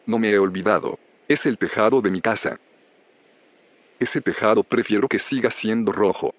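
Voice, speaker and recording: An actor playing a calm, sentimental man talking with a friend in audio drama dialogue. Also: fairly low pitch, normal speed, lightly acted.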